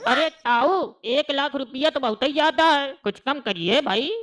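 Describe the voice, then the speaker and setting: A performer voicing a blustering, excitable male hospital attendant who scolds everyone in a comedy sketch. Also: sits high, unhurried. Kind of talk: comic cartoon voice acting. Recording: music playing under.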